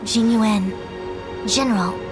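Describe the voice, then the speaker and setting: A woman's voice: mid-range voice, takes it slowly, close to an everyday voice. Game noblewoman commander, actress: mid-register, poised, formal, serious, music underneath. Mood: serious, composed. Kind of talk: dramatic fantasy video game dialogue.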